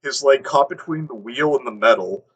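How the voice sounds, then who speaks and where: A man's voice: medium pitch, normal speed, a bit bright, lo-fi sound. A young man hosting a podcast, reading a horror story aloud and stopping to crack wry jokes. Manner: wry, breaks off to joke.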